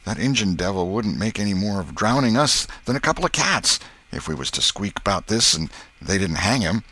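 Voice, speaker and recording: A scruffy, gullible country boy from a storybook, as a male narrator puts him on. He is earnest and plaintive, with a drawling rustic dialect. The voice is husky and forceful, lifted above the man's own. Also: fairly low pitch, very animated, fairly quick, voice pushed up, heavily acted. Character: gullible, earnest, uneducated ragamuffin boy, easily scared.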